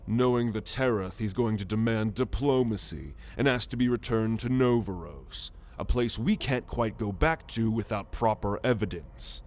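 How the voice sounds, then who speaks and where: Man voicing a low, haughty alien warrior. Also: a little mellow, natural voice. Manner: haughty, sardonic, measured with exasperated sighs. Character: proud, gruff alien warrior, a renegade military fugitive. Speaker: ASMR roleplay voice actor. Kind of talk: ASMR roleplay monologue to the listener.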